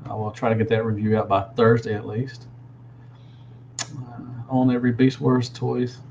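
A man's voice: mid-range voice, lo-fi sound, a little hiss or hum. A man in his thirties with a Southern drawl, chatting casually on a livestream from a room at home on a headset, rambling and saying "man" a lot. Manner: relaxed, rambling, drawn-out fillers, says "man" a lot. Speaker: toy reviewer answering live chat. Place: small room at home, indoors. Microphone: gaming headset.

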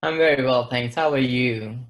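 Measured Indian-accented man, medium pitch. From western India. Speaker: interview guest, marketing advisor. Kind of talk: explanatory interview talk. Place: plain-walled room, indoors.

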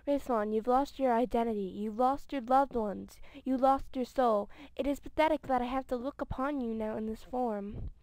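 A young woman acting a solemn, scornful anime character who passes judgment in measured, formal speech. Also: a little high, darkish tone, no room sound, close to an everyday voice, poor audio. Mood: grave scorn.

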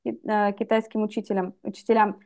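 A woman's voice: medium pitch, flat delivery, brisk, darkish tone. A young woman from Russia telling her own story as a guest over a video call, candid and reflective. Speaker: guest, English teacher. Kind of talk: personal story in interview. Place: dim room indoors. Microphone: video call webcam.

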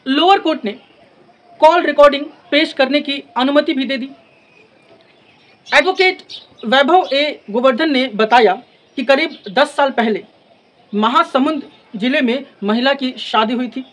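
A young man giving an explanatory law lecture, speaking deliberately phrase by phrase and repeating for emphasis. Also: extremely high pitch, a bit bright, poor audio. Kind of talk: explanatory lecture with English legal terms mixed in. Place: in front of a classroom blackboard. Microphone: lapel mic.

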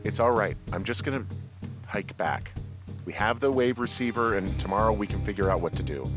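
A man acting as a middle-aged American fire lookout in a video game, with a low voice that moves around expressively. He is wary and level-headed, talking in a hesitant, natural way, with music behind him. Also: expressive, brisk, lightly acted. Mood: cautious. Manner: hesitant, conversational.

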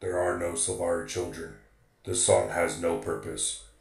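Male robot for fantasy audio drama, played by a man: low gravelly register, stiff and literal, dry recording. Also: steady tone, a little slow, reverberant, crowd noise. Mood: flat, pedantic. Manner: stiff, precise, formal phrasing. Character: literal-minded, formal male golem robot.

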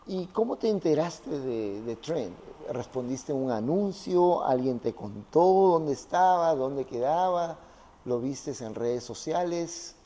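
A man's voice: a little high, expressive, slow, mellow. A man interviewing a guest in a conversational style, in a reverberant room.

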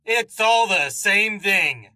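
A man narrates from a script in a high voice for a male. His pitch moves in lively swings, and the sound is dry, with little room around it. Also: slow, no echo. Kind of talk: scripted narration, reading out episode summaries.

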